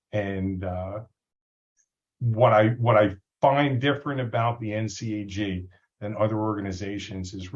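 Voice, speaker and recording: A man speaking as an association's board president in a casual webinar, upbeat and enthusiastic, with frequent ums and uhs. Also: fairly low pitch. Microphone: audio only on a video call. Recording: dull lo-fi call audio.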